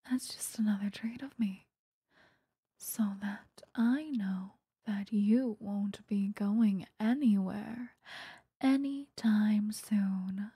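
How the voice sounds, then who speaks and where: A woman voicing an obsessive, sweetly menacing vampire lover in a breathy, whispered ASMR roleplay, close to the microphone. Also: medium pitch, dark tone, no echo. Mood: softly threatening. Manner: slow, intimate, coaxing, threatening. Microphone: close microphone, dry.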